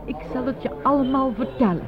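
A woman's voice: high voice, fairly quick, music in the background, lightly acted. An actress playing a frightened, agitated woman in a radio drama, urgent and stammering. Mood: urgent, fearful. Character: frightened, agitated woman insisting she is sane. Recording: phone-like band, crowd noise behind.

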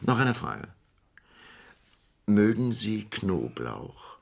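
An actor playing a rich, superstitious shipowner in a radio crime drama, imperious and theatrical. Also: male voice, fairly low pitch, very animated, extremely slow, vocal fry, full character voice. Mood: sly, commanding. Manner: pompous, dramatic, swinging between outbursts and muttering.

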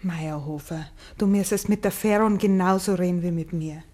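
A woman's voice: on the low side, moderate pace, dark tone, close to an everyday voice. An actress playing a plain-spoken, caring young farmhouse woman with a Bavarian accent in a 1950s dialect radio play. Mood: calm, concerned. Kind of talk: 1950s German dialect radio drama acting.

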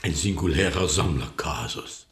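An actor playing an excitable, fussy fixer in a radio drama, with a husky voice, a florid manner. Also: male voice, a bass voice, normal speed, faint music behind, full character voice. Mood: musing. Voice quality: husky, raspy. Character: excitable, fussy male fixer listing eccentric collectors.

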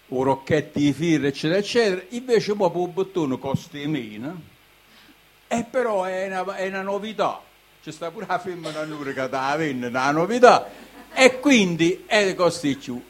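A man with a southern Italian accent ranting informally in a colloquial, rambling, ironic way, slipping into dialect and asking rhetorical questions. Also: fairly high pitch. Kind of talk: informal spoken rant, half in dialect.

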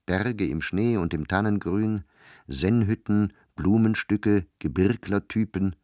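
An actor as an urbane literary narrator in a radio drama, measured and dryly ironic. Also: male voice, fairly low pitch, a little mellow, dry recording, clean studio sound. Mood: descriptive, ironic.

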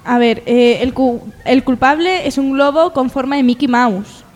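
A high-voiced woman with a Spanish accent from Spain presents mock news in a halting, chatty way. Her voice rings with long reverb. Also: darkish tone, faint music behind. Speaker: mock news presenter on a comedy radio show. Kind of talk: joking news reading with panel banter.

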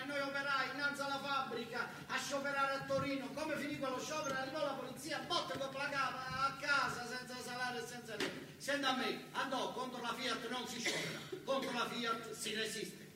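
A man's voice: very high voice, level tone, brisk, hardly any pauses, voice pushed up. A man performing an embittered, stubbornly resigned old factory-worker father in a stage monologue, declamatory, with a southern Italian accent. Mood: bitter, defiant. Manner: declamatory, emphatic, repeating slogans. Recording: very echoey, distant, noisy live hall recording.

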